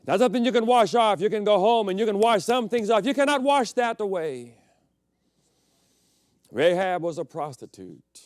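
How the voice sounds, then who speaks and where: A middle-aged man preaching a sermon as pastor, telling personal stories and swinging between loud emphatic peaks and quiet, low passages. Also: lively intonation, normal speed, no room sound, clean studio sound. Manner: storytelling preacher, personal anecdotes.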